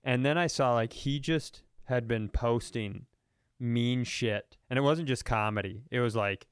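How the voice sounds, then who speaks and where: A man hosting a comedy podcast with a smooth, clear voice and a very dry, close sound. He talks casually in rambling, profane riffs and stories, at an ordinary middle pitch. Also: unhurried, no echo. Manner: rambling, riffing, profane storytelling.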